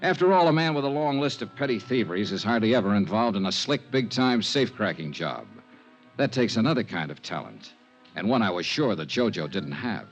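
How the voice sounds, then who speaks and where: An actor playing a shrewd, smooth-talking insurance investigator in a 1950s radio drama, with clipped hard-boiled narration and probing questions. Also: male voice, fairly low pitch, big pitch swings, fairly quick. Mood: wry, reflective. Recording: old muffled radio recording, faint music.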